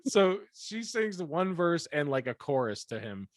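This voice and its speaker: A man's voice: fairly high pitch, very animated, normal speed, no room sound, clean studio sound. A man co-hosting a podcast in casual chat, blunt and profane, laughing often as he gripes. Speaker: podcast co-host; performer, musician.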